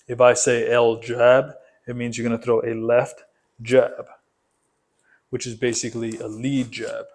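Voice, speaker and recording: A man's voice: medium pitch, a little mellow. A man coaching a boxing workout, explaining it methodically, step by step, in an instructional style.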